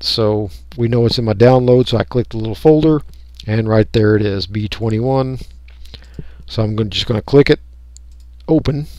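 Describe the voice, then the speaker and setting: A man's voice: medium pitch, darkish tone, dry recording. Man, casual tutorial, dry close sound. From United States. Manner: unscripted, folksy, explaining each click. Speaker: radio hobbyist giving a tutorial. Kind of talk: casual step-by-step software tutorial. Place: indoors, voice-over for a screen recording.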